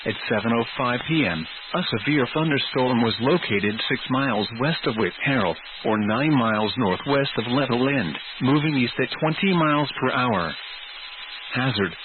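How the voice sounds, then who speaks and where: Over a thin, narrow radio channel with clear noise, a male announcer reads an emergency weather bulletin. His delivery is formal, measured and synthetic-sounding, in a mid-pitched voice. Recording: tinny, noisy weather radio broadcast.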